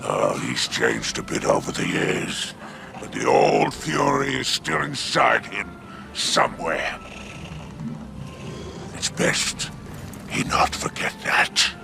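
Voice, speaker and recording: Gravelly, sly alien crime boss. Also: male voice, deep voice, huge pitch swings, takes it slowly, long pauses, music in the background, heavily acted. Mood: sly, menacing. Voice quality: gravelly growl. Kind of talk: video game cutscene monologue.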